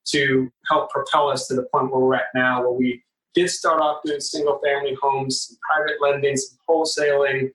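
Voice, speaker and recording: A man's voice: mid-range voice, flat delivery, normal speed, fairly bright tone, recorded from a distance. An earnest man in his forties, born in the Philippines, talks as a podcast guest and restarts phrases with repeated words. His voice stays level, with little rise and fall. He sounds set back from the mic in a roomy space. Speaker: podcast guest, real estate investor. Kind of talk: conversational interview.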